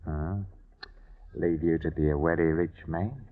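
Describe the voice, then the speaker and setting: Sly, deadpan baker in muffled old radio drama. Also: male voice, very deep voice, unhurried. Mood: wry. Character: sly, unhurried bun baker, bargaining coolly. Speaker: actor. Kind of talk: 1950s radio drama acting.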